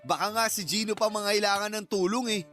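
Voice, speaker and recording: A man's voice: sits high, steady tone, normal speed, bright, forceful tone. An amused young Filipino friend in a radio drama. He ribs bluntly and jokily with a Filipino accent over a music bed. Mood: amused, resigned. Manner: jokey, blunt ribbing.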